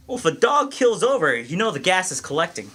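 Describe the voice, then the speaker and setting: A folksy country constable, played by a man, excitable and plain-spoken, in a radio mystery. The voice is bright and forceful and swings widely up and down. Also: high-pitched, brisk, husky, soft background music, full character voice. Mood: folksy, wary. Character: folksy male small-town constable and ambulance driver, excitable. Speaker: amateur voice actor in an audio mystery. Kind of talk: scripted radio mystery acting.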